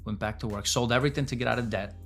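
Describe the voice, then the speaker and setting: A man's voice: mid-range voice, very fast, a bit bright, soft background music. A man in his forties telling his business story as a podcast guest, blunt and casual, swearing freely. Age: 40s. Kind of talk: candid storytelling interview.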